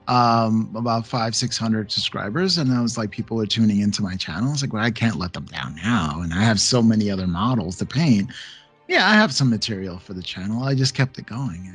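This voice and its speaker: A man guest on a hobby podcast, telling stories in a relaxed, laid-back way and laughing often. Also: fairly low pitch, slightly rough. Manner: storytelling, laughs often, acts out quotes. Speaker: podcast guest, painting channel creator. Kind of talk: casual podcast chat.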